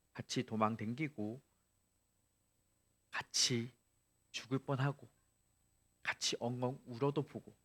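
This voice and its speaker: A man voicing a tough, brotherly gangster in a Gyeongsang dialect, reading slowly in a lowered, heartfelt way. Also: fairly bright tone, close to an everyday voice.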